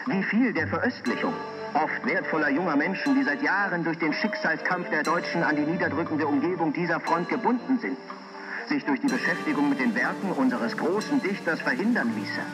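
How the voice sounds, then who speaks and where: A man's voice: a little high, fairly quick, low-quality recording. A man reading a scripted historical report with formal, declamatory diction. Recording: music playing clearly underneath.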